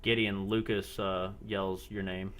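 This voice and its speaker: A man narrating an improvised role-playing game as game master, halting and pausing between phrases. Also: low-pitched, level tone, a bit bright. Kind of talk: improvised narration of a tabletop-style role-play.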